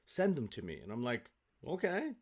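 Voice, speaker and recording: Man, interview guest, animated intonation, excitable, rambling, repeats himself, casual chat. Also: medium pitch, moderate pace. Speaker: guest, stage actor.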